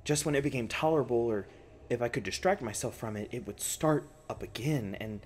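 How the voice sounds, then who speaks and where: A man playing a shaken, traumatized researcher in an audio roleplay, speaking in a halting, stammering monologue. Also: mid-range voice, a little mellow, slight background noise, close to an everyday voice. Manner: halting, stammering, faltering delivery. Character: shaken, traumatized male researcher recounting torment. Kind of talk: ASMR roleplay acting, intimate monologue.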